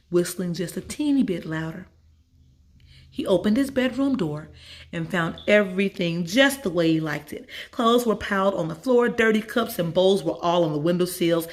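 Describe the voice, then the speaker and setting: A woman storyteller narrating a children's story from a script. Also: lowish voice.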